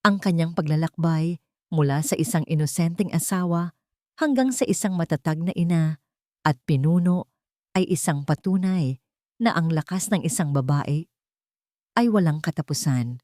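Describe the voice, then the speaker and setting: Female narrator for heartfelt scripted drama stories: low register, earnest delivery, dry studio-like sound. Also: normal speed, long pauses, darkish tone, no room sound. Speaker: story narrator.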